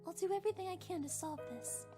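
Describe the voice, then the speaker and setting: Woman doing a caring young pony sleuth, cartoon, high gentle voice, music behind. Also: fast talker. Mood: sympathetic. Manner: gentle, careful, consoling. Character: kind, sympathetic young female pony investigator. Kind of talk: animated cartoon acting.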